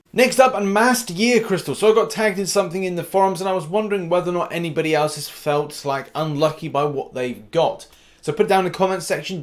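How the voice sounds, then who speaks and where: A British man with a slightly high voice hosts gaming news for his channel. He is upbeat and informal, with a cheeky streak, and leans hard on the start of each new phrase. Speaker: gaming news YouTuber and host.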